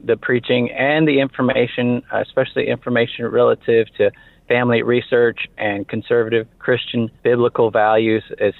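A man's voice: mid-range voice, dry recording. Man on a tinny phone line. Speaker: caller, truck driver. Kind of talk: prepared listener comment.

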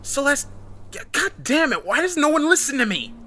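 A man voicing a fierce, bossy young woman who argues and shouts in a dramatic story. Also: medium pitch, steady tone, fairly quick, slight background noise, voice pushed up, natural voice. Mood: furious. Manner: shouting, growling, argumentative.

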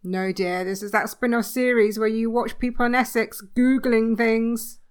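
A woman's voice: fairly high pitch, fairly even intonation, normal speed, clean tone, natural voice. A woman acting a chatty, blunt, down-to-earth wife in a comedy audio drama, plain-spoken and chiding. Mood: breezy.

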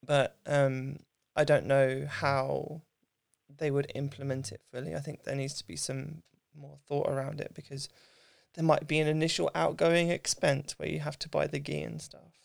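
A woman, hosting a casual interview in an informal, thoughtful way with halting phrasing. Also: low voice, little pitch movement, gravelly, dry recording, clean studio sound. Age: mid 30s. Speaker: podcast host, interviewer.

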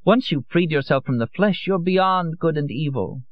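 A man's voice: a little high, lively intonation, moderate pace, dry recording, highs cut off, voice pushed up, close to an everyday voice. A man voicing a drunk, theatrical young woman who drawls coyly and stumbles over her words. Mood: drunken coy. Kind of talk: audiobook character voice.

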